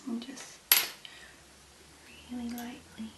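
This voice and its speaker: Woman doing asmr, soft whispery voice, really slow and gentle, bit of room echo. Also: fairly high pitch, completely flat delivery, heavy background noise. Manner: gentle, slow, unhurried murmuring with pauses. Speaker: ASMR video creator. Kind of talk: ASMR, soft-spoken make-up demonstration.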